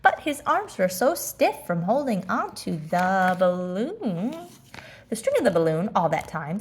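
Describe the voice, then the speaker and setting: A woman narrating a children's story aloud, expressive and deliberate in her phrasing. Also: mid-range voice, slightly rough.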